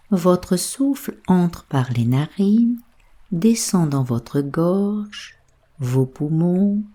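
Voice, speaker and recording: A woman with a soft, breathy voice leading a guided sleep meditation in a hushed, soothing, unhurried way. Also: sits low. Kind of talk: slow guided yoga nidra sleep meditation.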